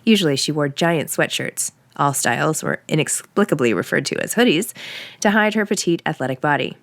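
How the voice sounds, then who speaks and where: A woman's voice: low voice, expressive, fairly quick, no echo. A middle-aged woman with an American accent, an author talking casually and reading aloud in the first person. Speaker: author and podcast host.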